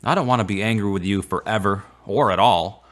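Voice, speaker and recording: An actor plays an earnest, devout man in a radio drama, saddened by a fading romance. His voice is fairly low, with a plain-spoken, sincere delivery. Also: a little fast, a bit bright, lightly acted. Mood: earnest, wistful.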